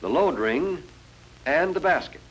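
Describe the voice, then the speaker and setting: A man's voice: fairly high pitch, animated, unhurried, a bit bright, slight background noise, low-quality recording. A man narrating a 1940s educational film in a formal, instructive, scripted manner. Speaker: narrator of a military training film. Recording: 1940s film soundtrack, faint music.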